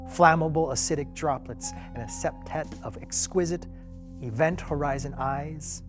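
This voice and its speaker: A man giving an expressive recitation of poetry as a performer. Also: on the high side. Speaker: performer reading poetry aloud. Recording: music faintly behind the voice.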